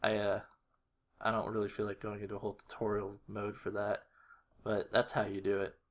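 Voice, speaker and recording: A man giving a casual screen-recorded walkthrough, thinking aloud in a low-key, halting mutter. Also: completely flat delivery. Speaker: video creator. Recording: faint noise with keyboard clicks. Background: computer keyboard typing and mouse clicks.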